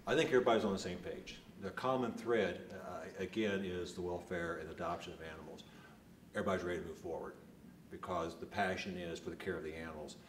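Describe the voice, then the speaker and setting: A man's voice: low voice, fairly even intonation, fast. A man giving an interview soundbite as an executive director, recorded in an echoey space. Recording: echoey, distant, faint ambient noise.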